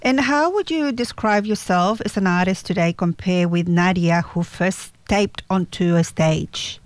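A woman's voice: on the low side, a little slow, no echo. A woman hosting a radio interview in a studio, reading out prepared questions with brief replies in between. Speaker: radio host.

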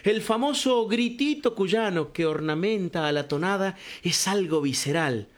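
Older man, high voice, storytelling radio columnist who says compadre. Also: lively intonation, unhurried. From Cuyo, Argentina. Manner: warm storytelling, addresses listener as compadre. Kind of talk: reflective spoken radio column.

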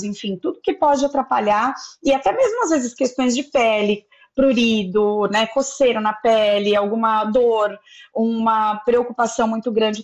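Calm woman doctor on muffled audio. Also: a little high. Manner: calm, methodical, lists advice point by point. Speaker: doctor giving sleep advice. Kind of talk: explanatory interview answers.

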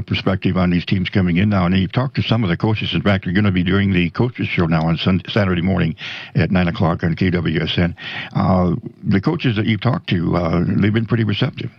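A man with a very deep, low voice hosts a radio sports program. He talks slowly and meanders, with folksy asides and one-liners. The audio is dry and dull, with a lo-fi radio studio sound. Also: no echo. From South Dakota, United States. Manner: slow, meandering, folksy, joking. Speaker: radio host. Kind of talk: casual radio interview.

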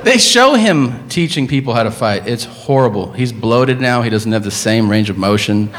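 Animated, incredulous male stand-up comic. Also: mid-range voice, normal speed, a little hiss or hum. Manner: incredulous, emphatic, casually profane storytelling. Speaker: stand-up comedian. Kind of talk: live stand-up comedy. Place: live comedy stage.

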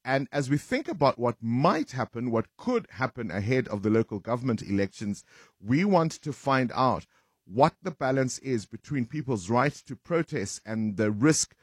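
A man's voice: medium pitch, sing-song, moderate pace, slightly rough, no echo, clean studio sound. A man with a South African accent, hosting a live radio breakfast show with casual banter.

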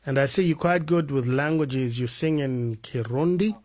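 A man hosting a radio interview, easygoing and encouraging, with soft "mm" backchannels. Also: mid-range voice, moderate pace. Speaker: radio host.

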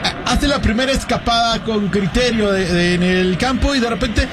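A man working as color commentator on a live football broadcast, talking through plays in a halting, conversational way. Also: high voice, a crowd in the background. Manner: conversational, halting, with fillers and restarts. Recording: broadcast with noisy background.

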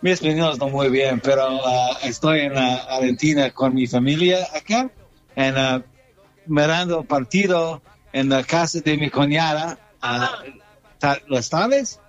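Halting Canadian man, slow broken Spanish. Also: on the high side, dull audio. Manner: halting, searching for words.

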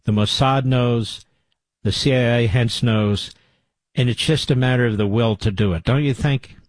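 A man's voice: medium pitch, no room sound. A man hosting a talk radio show and taking calls, emphatic and opinionated. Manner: emphatic, opinionated, rapid-fire.

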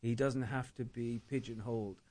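A man's voice: medium pitch, steady tone, moderate pace, a crowd in the background. A middle-aged man, a novelist being interviewed, speaking thoughtfully and often repeating words as he searches for them. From Ireland. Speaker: novelist guest. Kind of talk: reflective interview talk.

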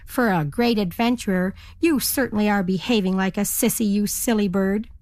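A woman's voice: medium pitch, moderate pace, a little mellow, dry recording, natural voice. A woman voicing a big, scornful, blunt raven in a recorded children's story. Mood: scolding.

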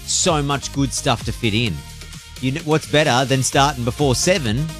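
A man's voice: medium pitch, moderate pace, fairly bright tone, soft background music. A man hosting an evening radio show, chatty and playful, teasing his co-hosts.

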